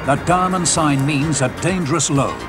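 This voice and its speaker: A man's voice: a little high, normal speed, over loud music. A man reading a scripted public safety announcement as narrator.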